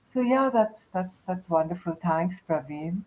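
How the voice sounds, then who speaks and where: A woman's voice: fairly low pitch, expressive, a little slow, a little mellow, poor audio. A woman hosting a casual panel chat, talking in a chatty, encouraging way. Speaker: host of a group chat.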